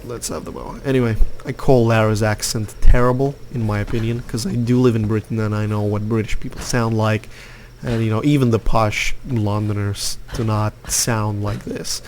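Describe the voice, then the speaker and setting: Opinionated man, low voice. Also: fairly even intonation, moderate pace, hardly any pauses, darkish tone, slight background noise. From Lithuania. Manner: rambling, opinionated, many fillers. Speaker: gaming youtuber. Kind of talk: casual gameplay commentary.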